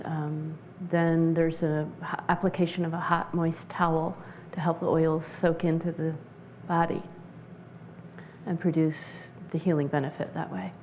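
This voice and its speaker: A woman giving explanatory interview answers as a practitioner, measured and halting as she searches for words. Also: low voice, mellow, a little hiss or hum. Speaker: interview guest, essential oils practitioner.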